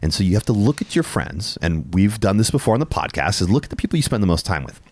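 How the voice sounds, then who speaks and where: A middle-aged man hosting a casual podcast, telling animated stories, laughing often and swearing casually. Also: on the low side, quick pace, no room sound. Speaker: podcast host.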